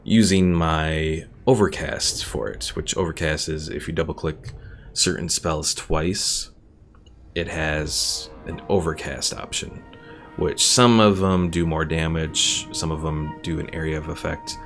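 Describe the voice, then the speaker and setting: Male game streamer, very creaky low voice, casual explanatory commentary over faint game music. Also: fairly even intonation, unhurried, fairly bright tone. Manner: thinking aloud, explaining game mechanics. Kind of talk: casual live gameplay commentary.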